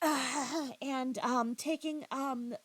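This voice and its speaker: A woman giving critical, confrontational reaction commentary, scornful and halting, often repeating her phrases. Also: sits high, fairly even intonation, a bit bright. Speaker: commentator reacting to another creator's livestream.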